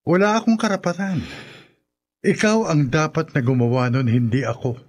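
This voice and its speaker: An actor playing a calm, unbending husband who refuses to help his wife, in a radio drama. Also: fairly high pitch, normal speed. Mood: cold. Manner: calm and dismissive.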